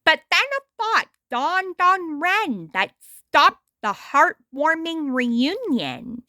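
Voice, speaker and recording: A woman reading a story aloud as an amateur storyteller, deliberate and expressive. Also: high voice, dry recording, studio quality. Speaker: amateur storyteller reading their own adaptation. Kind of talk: storybook read-aloud narration.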